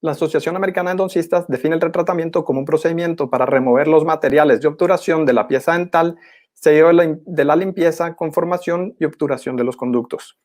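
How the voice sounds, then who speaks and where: A young man gives a formal academic presentation in a measured, didactic manner as a postgraduate student. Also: a little high, darkish tone. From Guatemala. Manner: measured, didactic, clearly enumerating.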